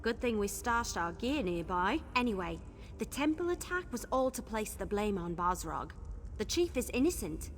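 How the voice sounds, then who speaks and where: A woman's voice: medium pitch, sing-song, normal speed, slight background noise, lightly acted. A woman playing a spirited, chatty adventurer ally in a fantasy video game, speaking expressively. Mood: wry, determined. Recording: long reverb, music underneath.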